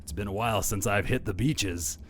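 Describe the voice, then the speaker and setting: A man's voice: lowish voice, brisk, a little hiss or hum, close to an everyday voice. A man doing an indecisive caped crusader. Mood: musing. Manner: deadpan, deliberating aloud. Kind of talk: comedy podcast sketch.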